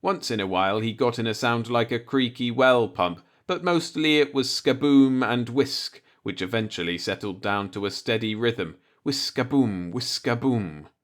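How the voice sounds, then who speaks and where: A man reading a short story aloud as an audiobook narrator, in a measured storytelling manner. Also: mid-range voice, a bit bright. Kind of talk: scripted narration, read-along short story.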